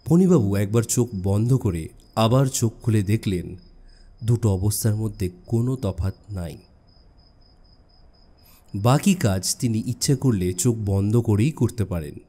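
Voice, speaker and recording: A man narrating a scripted suspense story in a measured, dramatic way with deliberate pauses. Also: fairly low pitch, dark tone. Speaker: audiobook narrator.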